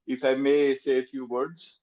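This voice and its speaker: A man from Pakistan, a playwright, giving remarks at a panel over a remote connection, speaking hesitantly with many pauses and fillers. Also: mid-range voice, level tone, muffled recording.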